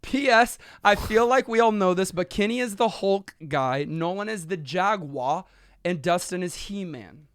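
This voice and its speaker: A man's voice: high-pitched, lively intonation, moderate pace, a bit bright, smooth, no room sound, clean studio sound. A man co-hosting a podcast, reading listener emails aloud steadily and adding joking asides in casual chat. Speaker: podcast co-host.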